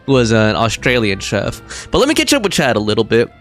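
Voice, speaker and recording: A man's voice: mid-range voice, huge pitch swings, fairly quick, fairly bright tone, soft background music. A man giving casual live game commentary as a streamer, chatty and informal. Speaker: livestreamer.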